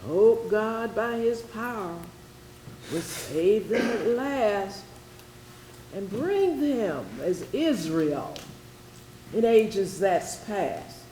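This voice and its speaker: A woman's voice: medium pitch, lively intonation, drawn-out words, warm tone. A woman playing an impassioned orator in a readers theatre, reciting anti-slavery verse in a declamatory, pleading manner. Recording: roomy echoing stage, faint noise.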